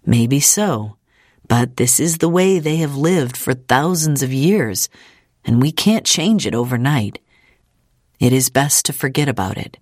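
A woman voicing a calm, resigned middle-aged father, measured and soothing, in an audiobook. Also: extremely low pitch, dry recording, studio quality, full character voice. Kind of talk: audiobook character acting.

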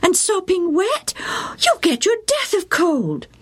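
A woman's voice: extremely high pitch, sing-song, takes it slowly, a bit bright, pitched above the performer's own voice, full character voice. A woman voicing a worried mother who fusses and scolds her son. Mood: alarmed. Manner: scolding, exclaiming. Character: fussing, worried country mother.

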